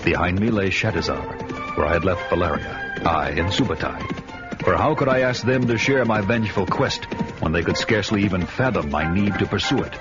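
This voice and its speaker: Vengeful barbarian hero, voiced by a man, grim measured narration, low-ish, lo-fi with score behind. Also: lightly acted. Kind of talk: first-person radio drama narration. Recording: dull lo-fi audio with music behind.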